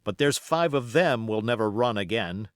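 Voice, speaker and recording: A man voicing a brisk, decisive doctor in an adventure storybook, speaking emphatically. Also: fairly low pitch, lively intonation, no room sound, studio quality, natural voice. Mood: grimly satisfied.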